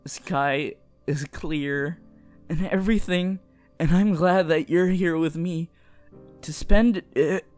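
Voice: mid-range voice, steady tone, takes it slowly, a little mellow, faint music behind, lightly acted. A performer voicing a shy, earnest, lovestruck teenage boy hero in an anime roleplay, stammering tenderly. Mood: gentle, encouraging. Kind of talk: anime fan audio roleplay addressed to the listener.

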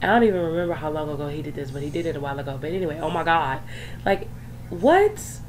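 A young woman, hoarse from being sick, chatting in a rambling, casual way with lots of fillers while talking over a screen recording. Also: low-pitched, expressive, fast. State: hoarse from illness. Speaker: gaming YouTuber. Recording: faint background noise.

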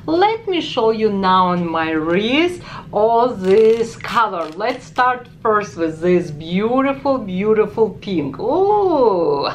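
Ukrainian lady in her 40s gushing over makeup in a store, talks slow, bit of background hum. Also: medium pitch, sing-song. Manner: chatty, enthusiastic, exclaims often. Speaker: beauty vlogger. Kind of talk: product review with swatches. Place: department store makeup counter, indoors. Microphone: camera close up.

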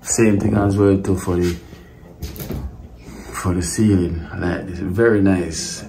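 A man's voice: sits low, slow pace, gravelly, slight background noise. Laid-back man giving a tour. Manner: relaxed, repeats himself, speaks to viewers as guys. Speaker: vlogger touring a guest villa. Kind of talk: casual tour walkthrough.

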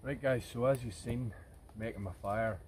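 A man's voice: mid-range voice, normal speed. A man in his thirties talking casually to camera outdoors, explaining things informally as a bushcraft vlogger. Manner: informal, explanatory, addressing viewers as guys. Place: outdoors in woodland camp. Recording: outdoor ambient noise.

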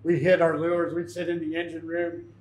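A man's voice: a little high, completely flat delivery, normal speed, a little mellow, slight background noise. A man in his fifties giving an informal, plain-spoken seminar talk as a fishing captain, telling anecdotes. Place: tackle shop, indoors, speaking to an audience. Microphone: lapel mic. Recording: echoey shop room.

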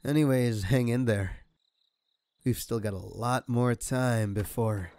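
A man playing a sadistic crime boss in an audio roleplay, taunting a captive with slow, mocking menace. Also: mid-range voice, a little mellow, no echo. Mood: smug taunting. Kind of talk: ASMR audio roleplay acting.

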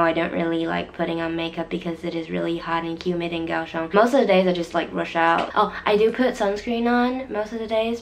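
A young woman in her twenties chatting casually to camera from a small bedroom, her voice still a bit deeper than usual after losing it. She fills her talk with "like" and often restarts and corrects herself. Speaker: vlogger. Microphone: camera in front at desk distance.

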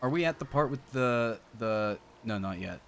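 Playful, animated man on a game stream. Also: medium pitch, moderate pace, a little hiss or hum. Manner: playful, profane, bursts into song snippets. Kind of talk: casual banter over gameplay. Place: indoor streaming setup. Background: game music.

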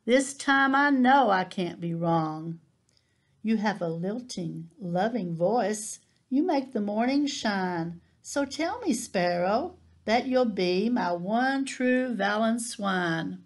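A woman's voice: mid-range voice, animated, slow. A woman voicing a lonely little female piglet who pleads wistfully for a sweetheart in a storybook reading. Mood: hopeful.

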